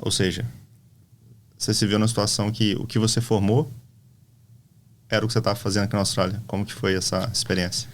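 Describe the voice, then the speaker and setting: Low-voiced interviewer, rambling questions. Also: male voice, fast, long pauses. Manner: long rambling questions, relaxed. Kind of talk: casual conversational interview.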